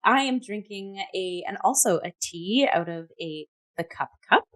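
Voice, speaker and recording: A woman's voice: mid-range voice, animated, unhurried, a bit bright. Upbeat, chatty woman hosting. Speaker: host of a performing arts review show. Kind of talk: conversational review show hosting.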